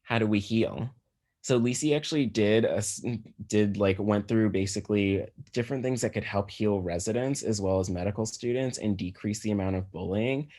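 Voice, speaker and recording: Male physician presenter, American, low register, conversational explanatory lecture. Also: normal speed, darkish tone.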